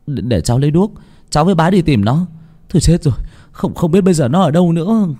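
A man's voice: medium pitch, fairly quick, mellow, pitched above the performer's own voice, natural voice. A man voicing a worried young village girl, speaking urgently and insistently in a narrated story. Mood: panicked.

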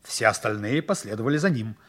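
An actor narrating a 1960s Soviet radio play as a wry storyteller, with theatrical, expressive emphasis. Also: male voice, fairly low pitch, quick pace. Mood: wry storytelling.

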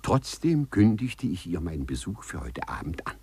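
Very husky gallant lovesick gentleman thief, old radio drama, super polite, swoops up and down. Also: low voice, very animated, dry recording, exaggerated voice. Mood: bashful, confiding. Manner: formal, florid, overpolite, halting politeness. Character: courtly, infatuated male gentleman burglar who walks through walls. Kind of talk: 1950s German radio play acting.

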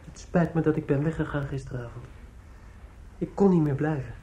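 A man's voice: fairly high pitch, fairly quick, mellow, muffled recording, natural voice. A male actor playing a polite, earnest young man and dutiful son in a radio play, hesitant and apologetic. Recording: noisy old radio play recording.

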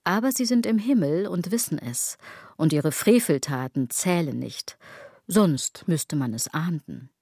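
A woman's voice: sits low, lively intonation, unhurried, dark tone, breathy edge, no echo, clean studio sound, heavily acted. An actress playing a self-critical woman who muses ironically in a literary radio drama monologue. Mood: sardonic reflection. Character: self-critical woman brooding obsessively over clothes, body and gods.

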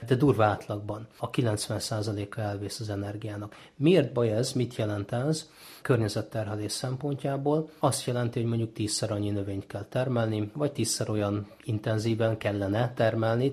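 A man's voice: lowish voice, fairly even intonation, a little mellow. A man explaining as an expert interview guest, in a didactic, emphatic manner. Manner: didactic, emphatic, poses questions and answers them. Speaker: ecologist and university lecturer as interview guest.

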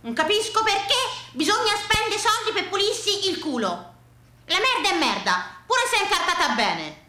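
A woman's voice: high voice, wildly swooping pitch, a little slow, bright, forceful tone, roomy sound, voice pushed up, full character voice. A woman voicing a stubborn, thrifty old Tuscan peasant grandfather with emphatic, proverb-like pronouncements in a radio monologue. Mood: indignant. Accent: Tuscan Italian.

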